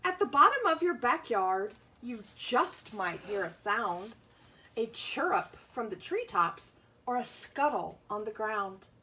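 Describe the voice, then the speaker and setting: Bright-voiced, slow-paced woman teaching children. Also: fairly high pitch, very animated, slight background noise. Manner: simple explanations and questions aimed at young children. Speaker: schoolteacher reading aloud to pupils. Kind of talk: picture-book read-aloud with asides to children.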